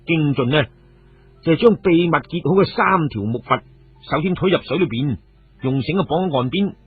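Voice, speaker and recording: A man's voice: a little high, expressive, moderate pace, husky, dry recording. A man with a Cantonese accent narrating a scripted story dramatically, as a radio storyteller. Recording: phone-like, muffled radio audio with music. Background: background music.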